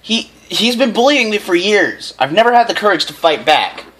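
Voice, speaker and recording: A man's voice: sits high, very animated, moderate pace, fairly bright tone, slightly rough, voice pushed up, full character voice. Man voicing a defiant young unicorn schoolboy. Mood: upset, pleading. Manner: defiant, taunting. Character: young male unicorn, bullied, defiant and coldly menacing.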